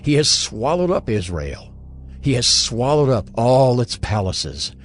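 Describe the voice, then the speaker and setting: A man playing a solemn, mournful narrator of dramatized scripture, letting his phrases fall away gravely. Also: mid-range voice, very animated, a little slow, faint music behind. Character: solemn male narrator lamenting a ruined city.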